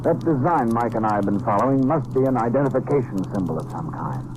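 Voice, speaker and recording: An actor playing a shrewd American investigator in an old-time radio detective drama, speaking in a clipped, decisive, matter-of-fact way. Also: male voice, medium pitch, animated, fast talker, background noise, close to an everyday voice. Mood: shrewd, intent. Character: hard-boiled American male investigator, shrewd and decisive, narrating his case. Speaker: lead actor and narrator.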